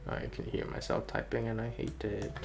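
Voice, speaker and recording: A man's voice: on the low side, monotone, normal speed, gravelly. A man streaming in a casual, rambling way, thinking aloud with hesitant phrasing. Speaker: streamer, game developer. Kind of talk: casual livestream chat. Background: music.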